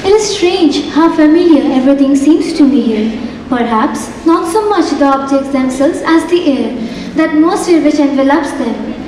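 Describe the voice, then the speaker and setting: A woman's voice: high voice, animated, normal speed, darkish tone, a little hiss or hum. Young woman, playing a polite young female singer, formal stage delivery, distant mic, long hall reverb. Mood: gracious. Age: young adult. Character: young woman singer, gracious, alone in life. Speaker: student actress. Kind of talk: stage acting in a school play. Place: large theatre stage. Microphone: far stage mic. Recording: echoey hall from far off.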